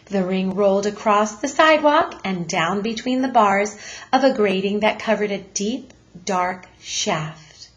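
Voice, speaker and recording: A woman reading a children's story aloud like a teacher, deliberate and expressive. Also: mid-range voice.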